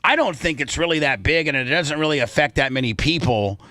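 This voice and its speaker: A man's voice: a little high, expressive, a little fast, sharp tone, dry recording. A man hosting a talk show in casual radio style, opinionated and repeating his points for emphasis.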